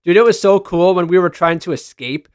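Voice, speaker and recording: Excited gamer guy chatting casually, kinda high voice, slangy, really dry close sound. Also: brisk, clear, resonant tone, no echo. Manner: enthusiastic, slangy, exclaiming. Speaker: gaming video creator reacting to screenshots. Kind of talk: casual reaction commentary.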